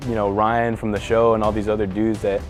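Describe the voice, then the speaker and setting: A man's voice: lowish voice, little pitch movement, fairly quick, soft background music. A twenty-year-old man from California, a student, speaking eagerly and from the heart in an interview. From Burbank, California.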